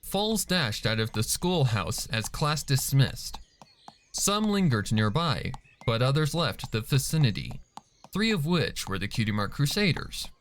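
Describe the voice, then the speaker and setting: A man's voice: mid-range voice, sing-song, unhurried, slight background noise. A man reading a dramatic scripted story as its narrator. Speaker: fan fiction narrator.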